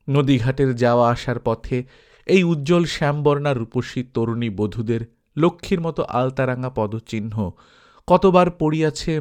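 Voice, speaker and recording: A man reads literary fiction in an expressive storytelling manner, at a middle pitch. The delivery is scripted narration, shaped like an audiobook.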